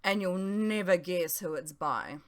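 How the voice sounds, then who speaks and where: A woman's voice: on the low side, expressive, a little slow. A woman voicing a brash, cheeky young male student in an audiobook, with an exuberant, theatrical manner. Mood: gleeful. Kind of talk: dramatised audiobook dialogue.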